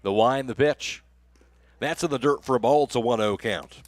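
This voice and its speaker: A man's voice: mid-range voice, moderate pace, dry recording. American man calling baseball play-by-play, dry sound. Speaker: radio play-by-play baseball announcer. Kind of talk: live sports commentary.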